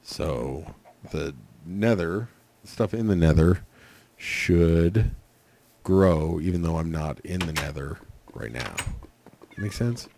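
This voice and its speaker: Hoarse guy w a cold, really low gravelly voice, slow rambly game commentary. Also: animated, darkish tone, a little hiss or hum. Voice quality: raspy. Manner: rambling, thinking aloud with many fillers. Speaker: let's play host. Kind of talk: casual gameplay commentary.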